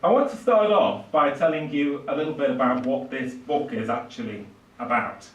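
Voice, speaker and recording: A man's voice: mid-range voice, lo-fi sound. Older man lecturing in an echoey room. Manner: hesitant, halting delivery with frequent pauses. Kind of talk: public lecture, lightly improvised. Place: small indoor lecture room. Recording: echoey room, distant microphone.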